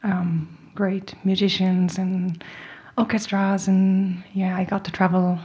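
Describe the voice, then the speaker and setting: Woman in her late 20s, talks softly with lots of ums, laughs shyly. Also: medium pitch, flat delivery, slow, breathy edge, highs cut off. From Korea. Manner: soft-spoken, hesitant, many ums, laughs shyly. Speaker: guest cellist. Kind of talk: radio interview answers. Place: radio performance hall studio.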